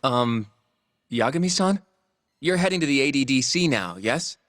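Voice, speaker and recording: A man's voice: mid-range voice, animated, normal speed, a bit bright, no room sound, clean studio sound, natural voice. An actor voicing an earnest, polite young male legal associate in a game cutscene, eager and a little anxious. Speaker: law office assistant.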